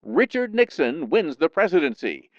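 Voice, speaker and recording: A man reads a scripted 1960s-style newsreel in a dramatic, measured announcer's delivery. His voice is somewhat high and very lively in pitch, with a resonant, clean tone. The sound is a little dull and band-limited. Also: no room sound. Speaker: newsreel narrator.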